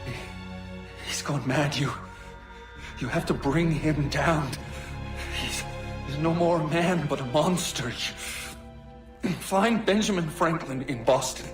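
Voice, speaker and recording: An actor playing a frightened, bewildered, dying officer in a video game, pleading in stammering, broken, breathless phrases. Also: male voice, on the high side, takes it slowly, long pauses, echoey room, over music. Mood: desperate pleading. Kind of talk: cinematic video game acting.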